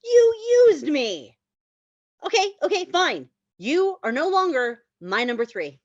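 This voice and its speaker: A woman's voice: sits high, sing-song, measured pace, no room sound. A woman acts out a callous, smug guy in a comedy screenplay. The cocky taunts swoop up and down dramatically. The recording is dry and a little dull. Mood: petulant. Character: smug, crude, callous male casual lover. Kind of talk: screenplay table read, comedic acting.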